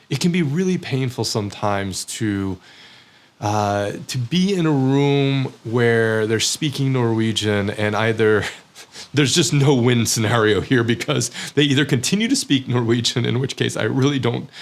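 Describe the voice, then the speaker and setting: A man with an American accent, talking in a hesitant, reflective way with many pauses and self-corrections. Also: mid-range voice, vocal fry. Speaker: academic vlogger. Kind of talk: casual reflective monologue.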